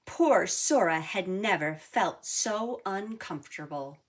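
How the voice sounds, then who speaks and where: A woman narrating a children's storybook in a deliberate, animated read-aloud style. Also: mid-range voice. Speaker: storybook reader.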